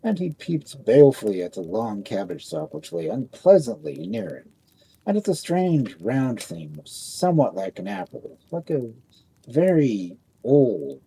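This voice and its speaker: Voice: mid-range voice, dark tone, breathy edge, rough recording. A storyteller reading a scripted fairy tale as narration.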